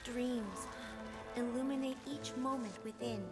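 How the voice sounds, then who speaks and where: An actress voicing a gentle, wise goddess sister in a game, speaking softly and ceremonially. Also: female voice, a little high, unhurried, big-room sound, close to an everyday voice. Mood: serene. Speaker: game character. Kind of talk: dubbed game dialogue. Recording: game audio with music bed.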